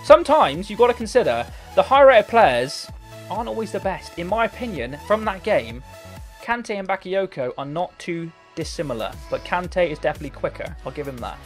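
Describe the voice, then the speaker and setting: A hoarse young man calls his football video game match, muttering low through quiet spells and bursting into shouts at every chance. Light background music runs under his voice. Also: brisk. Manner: excitable, swings from muttering to shouting. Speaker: gaming commentator. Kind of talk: live game commentary. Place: hot bedroom.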